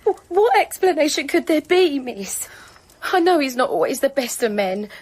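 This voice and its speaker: An actress playing an anxious, deferential working-class Victorian wife in a radio drama, apologetic and hesitant. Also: female voice, high-pitched, sing-song, moderate pace, a little mellow. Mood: distraught.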